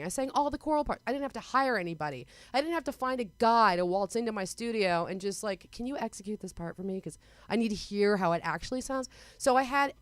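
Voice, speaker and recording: A woman composer chatting as a guest on a talk show, telling lively stories with quick asides. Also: no room sound. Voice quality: smooth, very low singing voice. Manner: animated storyteller, quick asides. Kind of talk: casual interview chat.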